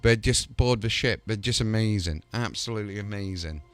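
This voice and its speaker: A man hosting a gaming video in casual, excited chat that rambles along with lots of fillers. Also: fairly low pitch, fairly quick, faint music behind. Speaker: host.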